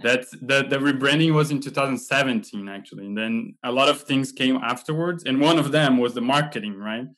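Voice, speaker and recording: A man's voice: mid-range voice, brisk, hardly any pauses. A man in his thirties from Brazil, talking relaxedly as a guest on a video call over a headset. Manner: relaxed, with fillers and light laughs. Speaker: guest, head of content. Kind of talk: casual video-call interview. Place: home room.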